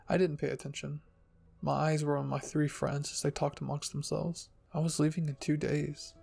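A man narrates a scripted story in an even, measured tone. His voice sits a bit high for a man and is husky with some fry. Soft music plays behind the reading. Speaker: fan fiction reader and narrator. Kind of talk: scripted first-person story narration, ASMR roleplay reading.

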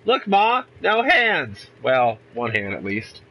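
A man's voice: medium pitch, wildly swooping pitch, slow, bright, forceful tone, slight background noise. A young man with a congested voice from a cold, chatting casually and rambling through a let's play from a room at home. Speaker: gaming YouTuber.

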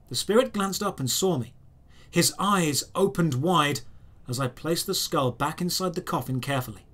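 Man, audio drama narrator, slightly high pitch, scripted first-person storytelling, measured and deliberate phrasing. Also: a bit bright.

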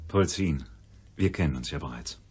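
An actor playing a guarded, self-righteous institute scientist in a crime radio play, formal and defensive. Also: male voice, rumbling low, expressive, normal speed, a little mellow, breathy edge, faint music behind, exaggerated voice.

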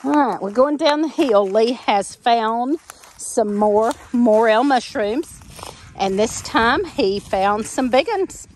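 A woman's voice: a little high, animated, slow pace, a little hiss or hum. A middle-aged woman, chatting folksily while walking the woods of her farm, filming as she goes. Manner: chatty, folksy, laughs easily, many fillers. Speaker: homestead vlogger. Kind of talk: casual walk-and-talk narration. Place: outdoors in the woods behind a farmhouse. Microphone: handheld camera while walking.

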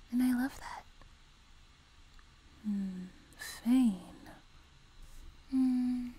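A woman voicing a sweet, teasing, doting young demon girl in a soft, breathy whispered ASMR roleplay, close to a binaural mic. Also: on the high side, exaggerated voice, low-quality recording. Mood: playful, smug. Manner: gentle, slow, teasing, intimate. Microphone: close binaural microphone.

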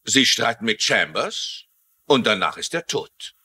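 Self-important, sharp-voiced police lieutenant. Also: male voice, medium pitch, very animated, a little slow, breathy edge, heavily acted. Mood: interrogating. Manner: officious, insistent. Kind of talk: dubbed TV mystery acting.

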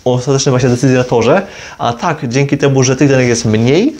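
A man's voice: mid-range voice, moderate pace, darkish tone. A man giving an informal explainer to camera, rephrasing and correcting himself as he goes. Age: 20s. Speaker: motorsport video creator. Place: small plain room indoors. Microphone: lavalier clipped on jacket.

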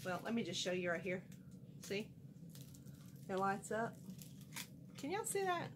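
A middle-aged woman with a twang, slightly nasal from a cold, rambles happily about her bargains and loses her train of thought. She sounds like she is talking to a camera in a small room at home. A steady background hiss runs under her voice. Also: mid-range voice, measured pace. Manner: folksy, animated, chatty, wanders off mid-sentence. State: has a cold, a little nasal. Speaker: haul vlogger. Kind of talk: casual chatty haul vlog.

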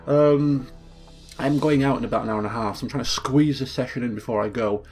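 A man hosting a casual gameplay commentary, thinking aloud and correcting himself as he goes, his voice a little croaky from just waking up. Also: mid-range voice, fairly quick, darkish tone, soft background music. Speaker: let's play host.

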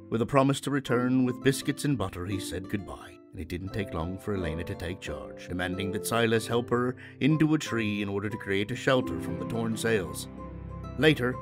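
A man narrating a scripted story recap as the narrator of an audio drama. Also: lowish voice. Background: plucked guitar music.

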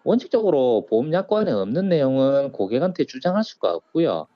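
Lively-pitched man on a dull phone recording. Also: fairly quick, no room sound, soft background music. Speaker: insurance agent. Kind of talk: explanatory interview.

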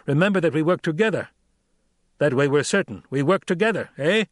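A man's voice: fairly high pitch, expressive, fairly quick, no room sound, studio quality, pitched above the performer's own voice, lightly acted. A man reading a stern, blunt, middle-aged desert troop leader in an audiobook, with a harsh, commanding manner.

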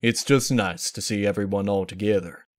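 A man voicing a quiet, kindly big country stallion, a folksy brother in a story reading. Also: on the low side, normal speed, no echo, clean studio sound, lightly acted. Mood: gentle. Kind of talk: dramatized fan-fiction reading.

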